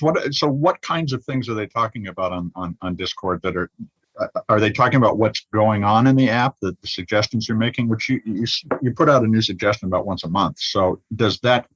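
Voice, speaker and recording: Dull-sounding, low-voiced male interviewer. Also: a bit bright, no room sound. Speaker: podcast host, interviewer. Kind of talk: conversational interview.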